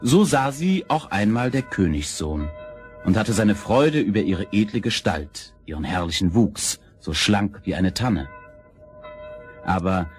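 Low-voiced male fairy-tale narrator over music. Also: expressive, measured pace, a little mellow. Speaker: storyteller, narrator.

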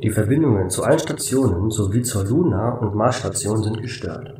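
A man's voice: lowish voice, a little fast, mellow, lightly acted. A man voicing a composed, dutiful space station computer in an audio drama, reporting formally and matter-of-factly. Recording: echoey, distant, with clear background noise.

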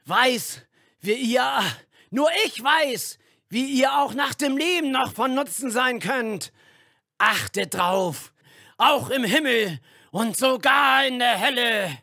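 A man's voice: extremely high pitch, animated, slow pace, vocal fry, pushed and bright, no echo, studio quality, exaggerated voice. An actor playing a grandiose, megalomaniac leader declaiming his new world order in a radio play. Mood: imperious.

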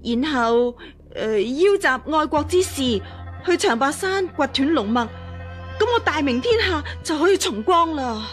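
An actress playing a scheming impostor empress dowager in a radio drama, deferential, hesitant and pleading. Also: female voice, high voice, animated, measured pace, faint music behind. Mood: nervous, deferential. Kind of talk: Cantonese radio drama acting.

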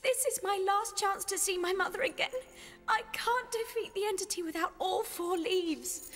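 A woman's voice: very high-pitched, normal speed, a bit bright, big-room sound, soft background music. An actress with a British accent playing an earnest, anxious but determined teenage girl in a video game. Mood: distressed. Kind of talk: dramatic video game acting.

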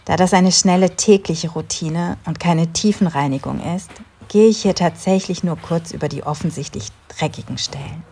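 A woman in her thirties calmly narrating a home cleaning routine in a voice-over, giving step-by-step tips straight to the viewer. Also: on the low side, normal speed, few pauses, husky. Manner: calm, instructive, talks directly to the viewer. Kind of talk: calm scripted voice-over narration.

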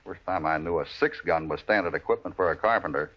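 A cowboy hero from a vintage radio Western. He speaks in a fairly low voice with dry, steady authority. The recording is dull and dated. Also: quick pace. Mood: dry, calm. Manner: calm, dry, understated. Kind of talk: old-time radio Western acting.

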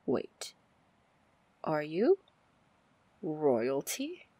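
A woman playing a confident, commanding, flirty female pirate captain in an ASMR fantasy roleplay, breathy and deliberate. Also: huge pitch swings, dark tone, no echo, exaggerated voice. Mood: wry, probing. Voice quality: breathy, low, husky. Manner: slow, deliberate, smooth-talking. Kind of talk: ASMR roleplay acting, fantasy audio drama.

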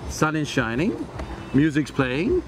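A man's voice: a little high, wildly swooping pitch, slow. A man in his forties hosting a casual travel interview outdoors on a beach, talking in a chatty, joking way with many restarts. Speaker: travel news host. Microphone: lapel mic. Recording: outdoor beach noise behind.

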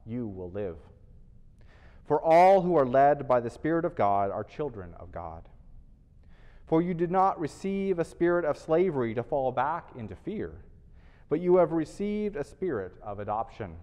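A man's voice: a little high, mellow. A middle-aged man, a priest, reading scripture emphatically while wearing a face mask in a small church. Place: small church chancel, indoors. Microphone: lapel mic, face mask. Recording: dull sound with church reverb.

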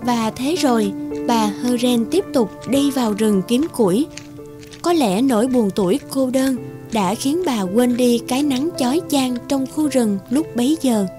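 A woman's voice: a little high, unhurried, dark tone, faint music behind. Woman narrating a children's fairy tale.

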